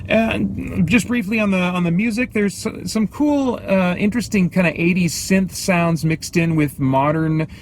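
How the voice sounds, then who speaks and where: American male vlog reviewer, slightly high voice, conversational and halting, light background noise. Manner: halting, thinking aloud, self-interrupting. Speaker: movie reviewer and host. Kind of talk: casual off-the-cuff review vlog. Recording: clear background noise, ambient sound.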